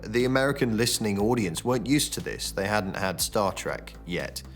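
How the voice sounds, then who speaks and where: Documentary commentator: British man, very low voice, chatty and explanatory, prominent music behind. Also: lively intonation, normal speed. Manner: colloquial, explanatory. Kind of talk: conversational interview.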